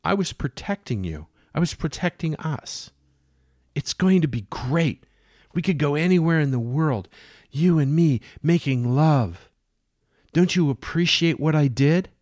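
A man's voice: animated, a little slow, a little mellow, dry recording, studio quality. A man voicing a drunk, unhinged woman who speaks sweetly while threatening violence. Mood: cooing. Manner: cooing, falsely sweet, high-strung. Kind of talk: dramatic story reading.

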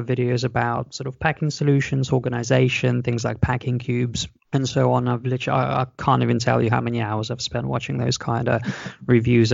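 A man from Russia chats eagerly as a guest on a podcast, talking over a video call. His voice is rough and gravelly with heavy fry. The call audio is dry and a bit muffled. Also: mid-range voice, no room sound. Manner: chatty, enthusiastic, explains at length. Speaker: podcast guest, travel blogger. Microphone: video call microphone.

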